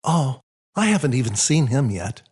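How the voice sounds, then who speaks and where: A man voicing a tender, serene mother from a dream in an audiobook, with a gentle, drawn-out delivery. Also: medium pitch, very animated, slightly rough. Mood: gentle, reassuring.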